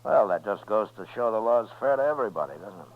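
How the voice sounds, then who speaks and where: Calm, laconic US marshal, very low voice, American, vintage radio western, dull old recording. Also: male voice. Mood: dryly cool. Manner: laconic, measured, understated. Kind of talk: 1950s radio western acting and narration.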